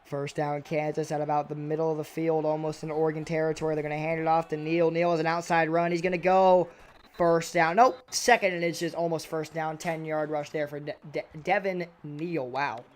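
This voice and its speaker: A young man doing play-by-play commentary on a video game football match, excitable and a little raspy, his voice jumping on big plays. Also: brisk. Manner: excitable, voice jumps high and loud on big plays.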